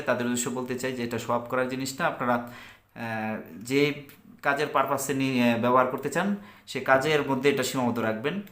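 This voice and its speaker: Man, Bangladeshi accent, sharp bright voice, halting product pitch, repetitive, echoey room. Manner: halting, repetitive, searching for words. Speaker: shop product reviewer. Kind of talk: unscripted product review and sales pitch.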